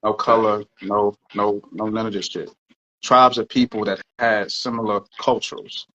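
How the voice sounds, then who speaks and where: Low-voiced man explaining earnestly. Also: fairly even intonation, a little slow, fairly bright tone, highs cut off. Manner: earnest, explanatory, sometimes swearing. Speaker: podcast co-host. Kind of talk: casual podcast discussion.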